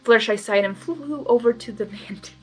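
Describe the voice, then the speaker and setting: A woman reading fan fiction aloud as host, narrating and breaking into casual, expressive reactions. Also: on the high side, a little fast, husky, soft background music. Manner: expressive, animated asides.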